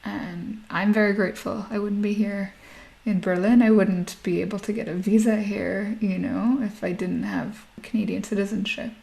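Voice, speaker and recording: Chatty woman in her thirties, gravelly edge. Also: mid-range voice, fairly even intonation, moderate pace, hardly any pauses, dark tone, poor audio. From Nigeria. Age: 30s. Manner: chatty, laughs often while talking. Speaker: guest. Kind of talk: casual interview conversation.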